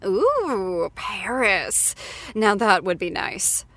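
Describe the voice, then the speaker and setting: Effusive, teasing female interview host with lively pitch, measured pace and a close dry sound. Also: dry recording. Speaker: after-show host. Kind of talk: playful hosted interview.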